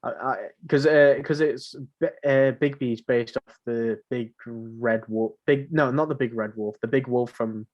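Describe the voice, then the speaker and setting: A man's voice: medium pitch, measured pace, hardly any pauses, lo-fi sound. A man co-hosting a podcast in casual, conversational chat about games. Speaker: podcast co-host.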